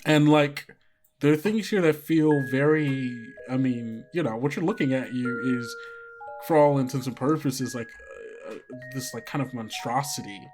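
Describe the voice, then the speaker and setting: A game master improvising horror narration for a tabletop game in a halting, conversational way. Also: medium pitch, music in the background. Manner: halting, conversational, frequent 'like' and 'you know'.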